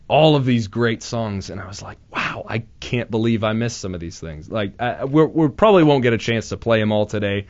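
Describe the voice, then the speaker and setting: A young man hosts a casual radio show, rambling and nervous with plenty of restarts and self-deprecating jokes. His voice sits at an ordinary pitch, picked up dry and slightly dull on a studio mic in a small room. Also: no room sound. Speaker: radio host. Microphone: studio microphone.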